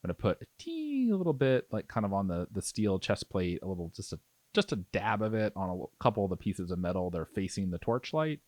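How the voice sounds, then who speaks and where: A man with a low voice hosts a casual tutorial. His intonation moves up and down a lot as he talks through each step. The sound is dry and close, with very little room. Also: sing-song, fast, a little mellow, no echo. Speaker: miniature painting tutorial host. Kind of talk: casual hands-on tutorial narration.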